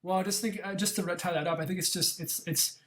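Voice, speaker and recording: A man's voice: high voice, steady tone, fast talker, a bit bright, a little hiss or hum. A filmmaker on a podcast panel, a man reflecting aloud on film and often restarting his thoughts. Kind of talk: casual film discussion.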